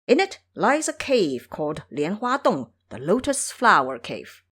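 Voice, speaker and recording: A woman's voice: lowish voice, huge pitch swings, unhurried, a bit bright, husky, full character voice. A woman voicing an exasperated male woodcutter in an audio drama, scolding and blunt with emphatic delivery. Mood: grim warning.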